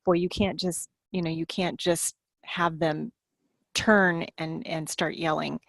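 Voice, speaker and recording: A woman writer in a casual podcast chat, speaking deliberately and repeating words for emphasis. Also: low-pitched, fairly even intonation, vocal fry, no echo. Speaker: fiction writer and podcast panelist.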